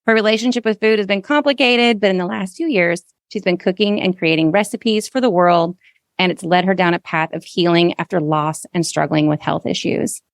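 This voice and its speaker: A woman's voice: lowish voice, fairly quick, no room sound, clean studio sound. A woman hosting a podcast, introducing and chatting in an effusive, encouraging way. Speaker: podcast host. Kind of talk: podcast introduction and conversational interview.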